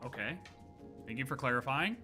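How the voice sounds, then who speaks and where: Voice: medium pitch, expressive, a little fast, pushed and bright, faint music behind. A streamer giving casual, playful live reactions to a game, with informal interjections.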